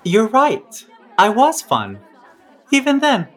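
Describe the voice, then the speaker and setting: Slow, flirtatious man. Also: sits high, huge pitch swings, a faint crowd, heavily acted. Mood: flirtatious, teasing. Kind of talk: audio musical comedy acting.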